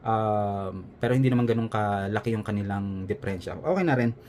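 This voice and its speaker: A man's voice: on the low side, brisk, darkish tone, a little hiss or hum. A man with a Filipino accent presenting a product in a casual vlog style, explaining conversationally and often checking in with a short tag question. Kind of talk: casual unboxing and product review, explaining as he goes.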